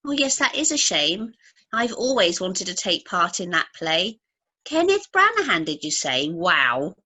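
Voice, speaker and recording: Casting: actress as nosy, chatty expectant young woman, very animated melody, sharp bright tone, radio play gusto. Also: fairly low pitch, a little slow, husky, exaggerated voice. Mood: gushing. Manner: gushing, gossipy. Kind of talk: amateur radio play acting.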